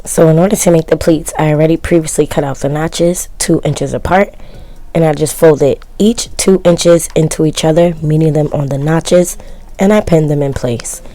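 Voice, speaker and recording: A woman narrating her sewing project in a casual, chatty voice-over, her voice worn and cracking from yelling, with self-mocking, shrugging asides. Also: deep voice, steady tone, normal speed. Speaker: sewing vlogger.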